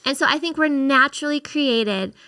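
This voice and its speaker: A woman co-hosting a faith-based podcast, speaking in a gentle, reflective way as she talks through her book. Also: high-pitched, moderate pace, no room sound, studio quality. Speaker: podcast co-host and author.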